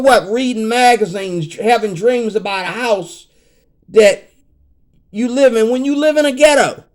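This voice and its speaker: A man in his fifties ranting in a casual vlog, rambling and repeating himself, growing heated and emphatic. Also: extremely high pitch. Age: 50s. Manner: rambling, repeats "you know what I mean". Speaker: vlogger.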